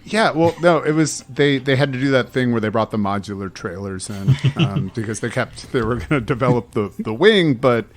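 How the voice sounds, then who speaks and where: A man's voice: mid-range voice, animated, normal speed, hardly any pauses, a little hiss or hum. A man chatting casually as a podcast panelist, rambling and improvising in a joking way. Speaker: podcast panelist, tabletop game player. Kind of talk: casual group chat.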